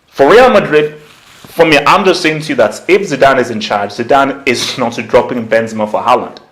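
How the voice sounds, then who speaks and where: A man's voice: medium pitch, moderate pace, fairly bright tone. A man giving casual, opinionated football commentary as a vlog host, slangy and conversational, repeating points for emphasis. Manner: slangy, conversational, emphatic repetition, acts out imagined quotes. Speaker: football YouTuber, channel host.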